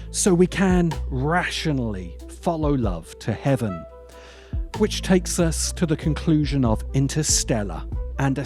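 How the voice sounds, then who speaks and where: A man narrating a scripted video essay in a measured way, with emphatic pauses and wry asides. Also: medium pitch, a little mellow.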